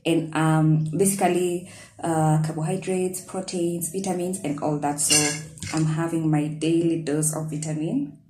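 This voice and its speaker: Young woman, unhurried casual vlog chat, fillers and self-corrections, roomy sound, clear background noise. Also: sits low, steady tone. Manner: chatty, listing items with fillers and self-corrections. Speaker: vlogger. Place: small indoor room at a table.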